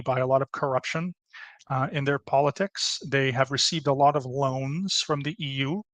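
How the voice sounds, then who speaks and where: A man's voice: mid-range voice, fairly bright tone, no echo. A man presenting a history topic on a casual podcast, with many fillers and restarts.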